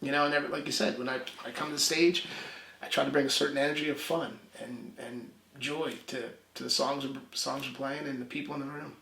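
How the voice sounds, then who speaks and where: A man's voice: mid-range voice, steady tone, creaky, sharp tone, slight background noise. A man, a musician guest, talking casually in an interview, stumbling and restarting often. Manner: halting, many restarts. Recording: echoey room.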